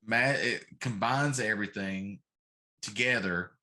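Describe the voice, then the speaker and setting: A man's voice: on the low side, measured pace, pushed and bright. A middle-aged man co-hosting a casual talk show, giving emphatic, grumbling opinions and repeating himself for effect. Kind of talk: casual opinionated chat about pop culture.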